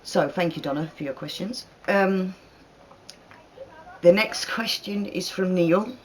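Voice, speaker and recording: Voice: mid-range voice, slow pace, a little hiss or hum, low-quality recording. A speaker in their thirties from northern England, with an accent that mixes northern and southern English, casually chatting through viewer questions, rambling and laughing often. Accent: mixed northern and southern English. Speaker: channel host answering viewer questions. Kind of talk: casual Q&A chat.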